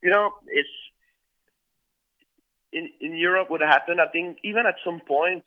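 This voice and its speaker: A man's voice: fairly high pitch, a little slow, no room sound, phone-quality sound. A man from Quebec with a French Canadian accent, a band member talking loosely in a casual interview, full of fillers and restarts.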